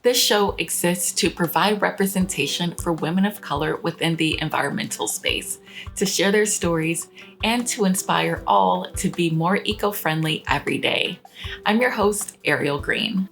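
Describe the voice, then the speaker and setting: A woman's voice: lowish voice, expressive, normal speed, creaky, soft background music. A woman hosting a podcast, upbeat and enthusiastic, greeting her guest and asking friendly questions. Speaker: podcast host.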